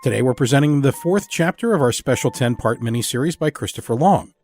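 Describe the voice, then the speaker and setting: A man's voice: medium pitch, animated, a little fast, darkish tone. A man hosting a podcast, reading a scripted introduction and announcements. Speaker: podcast host. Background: music.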